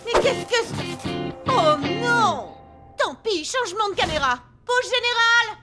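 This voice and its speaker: A woman's voice: extremely high pitch, expressive, unhurried, music in the background. A woman voicing a dutiful, easily flustered film crew assistant in a cartoon. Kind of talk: animated cartoon dubbing.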